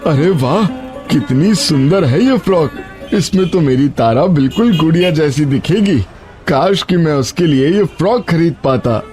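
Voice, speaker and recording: An actor playing a poor, honest labourer and tender, sorrowful father in an animated moral story, speaking gently and earnestly. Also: fairly high pitch, normal speed, soft background music, lightly acted. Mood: wistful, humble.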